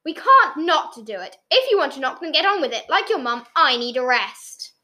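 A woman's voice: high-pitched, sing-song, normal speed, pushed and bright, voice pushed up, exaggerated voice. A woman voicing a tired, stern father in a story, cutting and firm. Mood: cutting, weary. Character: tired, stern father losing his temper.

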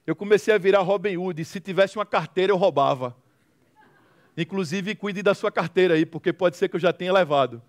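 A man's voice: sits high, brisk. A man with a Brazilian accent giving a motivational testimony to an audience, telling stories dramatically with emphatic repetition and humor. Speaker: guest speaker, social movement founder.

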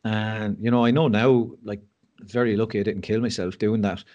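A man's voice: on the low side, steady tone, normal speed, darkish tone, lo-fi sound. An Irish man speaking as a podcast guest, telling his story candidly with frank, self-deprecating humour and lots of fillers and restarts. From Ireland.

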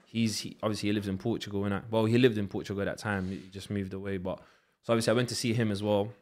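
A young man from Lithuania, a chatty podcast host who keeps up quick backchannels and slangy talk. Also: on the low side, little pitch movement, darkish tone. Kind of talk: casual chat.

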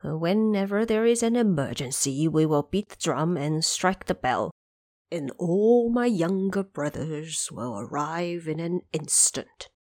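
An old dragon king acted out by a woman in an audio drama, fretful and eager to placate. The speech is courteous and hesitant, with wide swings of pitch. It is recorded very dry. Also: lively intonation, unhurried, a little mellow, no echo. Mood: placating. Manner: pleading, hesitant, deferential.

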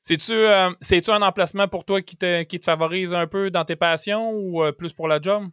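A man with a Quebec French accent co-hosting a podcast in casual chat, halting as he searches for words. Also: sits high, fairly even intonation, fairly bright tone, clear, resonant tone, no room sound, studio quality. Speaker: podcast co-host.

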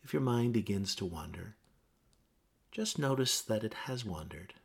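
A man gently leading a guided meditation with measured instructions and pauses. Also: lowish voice, animated, vocal fry, breathy edge.

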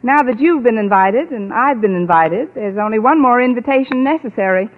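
An actress playing a quick-witted, sarcastic middle-aged English teacher in a 1940s radio comedy. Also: female voice, on the high side, expressive, close to an everyday voice. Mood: dryly teasing. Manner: dry, sarcastic wisecracks. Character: wry, quick-witted woman high school English teacher. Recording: scratchy old radio broadcast, tinny, audience behind.

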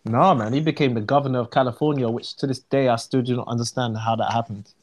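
A man talks in easy street slang, mid-pitched and loose, inviting viewers in. He laughs often and keeps the chat flowing. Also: fairly even intonation, fast. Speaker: live stream co-host. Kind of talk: casual live chat.

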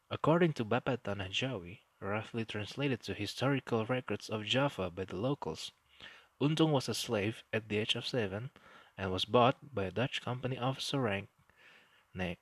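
A man's voice: lowish voice, fairly bright tone, husky, dry recording. A man with an Indonesian accent, whose first language is Indonesian, hosting a solo history podcast in an earnest, explanatory way. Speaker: podcast host.

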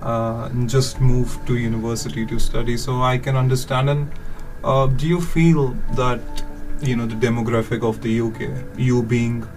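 A man from India whose first language is Hindi, hosting a podcast interview and asking halting, soft-spoken questions. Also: mid-range voice, level tone. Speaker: podcast host. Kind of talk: interview conversation. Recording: distant mic, music behind.

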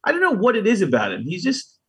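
A man's voice: high-pitched, wildly swooping pitch, quick pace, fairly bright tone, breathy edge. A man co-hosting a podcast, chatting casually and searching a little for his words. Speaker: podcast co-host. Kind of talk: casual chat.

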